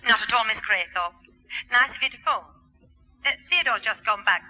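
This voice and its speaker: A woman's voice: fairly high pitch, lively intonation, normal speed, pushed and bright, full character voice. A woman playing a polite, inquisitive middle-aged mother in a 1960s radio sitcom. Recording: muffled old radio recording.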